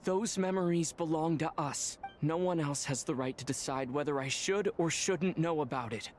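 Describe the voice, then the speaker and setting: A man voicing an earnest, determined young traveler hero in a fantasy video game, with deliberate delivery. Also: a little high, slight background noise, lightly acted. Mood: resolute. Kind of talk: scripted game voice acting.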